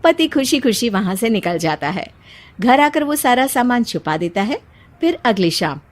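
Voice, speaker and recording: A woman's voice: fairly high pitch, very animated, normal speed. A woman narrating a scripted story as its storyteller.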